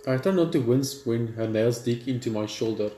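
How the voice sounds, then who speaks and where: A man's voice: mid-range voice, moderate pace. A man reading story text aloud for a gaming video, sometimes stumbling over the words. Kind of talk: let's play read-aloud. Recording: roomy echo with music behind.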